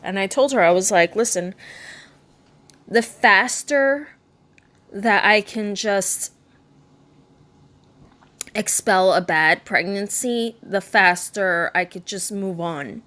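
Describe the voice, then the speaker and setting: A woman in her mid-thirties, speaking candidly in a personal vlog, subdued and halting, with frequent ums and restarts. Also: mid-range voice, fairly bright tone. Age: 30s. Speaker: vlogger. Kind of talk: personal vlog talking to camera.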